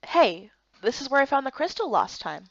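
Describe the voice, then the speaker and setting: Woman acting an earnest, high-voiced young game heroine. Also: moderate pace, dry recording, lo-fi sound, full character voice. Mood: thoughtful. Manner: expressive, emphatic delivery. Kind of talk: visual novel game character dub.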